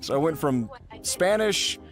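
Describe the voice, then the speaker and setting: A young American man chatting casually in an interview as a voice actor, telling stories in an animated, amused way. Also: on the high side, unhurried, music in the background.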